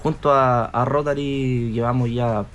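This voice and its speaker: A man's voice: mid-range voice, steady tone, unhurried, crowd noise. A man speaking conversationally as a guest in a radio interview. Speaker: municipal youth office worker, guest. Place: radio studio. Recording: faint background noise.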